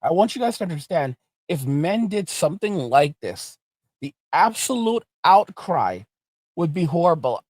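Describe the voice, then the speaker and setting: A man's voice: a little high, very animated, moderate pace, darkish tone, no echo. A man hosting a reaction commentary channel, ranting in an indignant tone.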